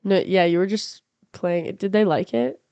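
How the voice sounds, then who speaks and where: A woman's voice: on the low side, fairly even intonation, normal speed, dark tone, smooth, no room sound, lo-fi sound. A woman guesting on a podcast, improvising comedy scenes with brash, crude jokes. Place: house.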